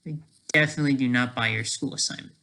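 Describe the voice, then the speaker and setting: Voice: mid-range voice, fairly even intonation, fast talker, bright, forceful tone. A person giving rambling, off-the-cuff commentary as an amateur creator, often breaking off mid-sentence and tagging statements with "right?".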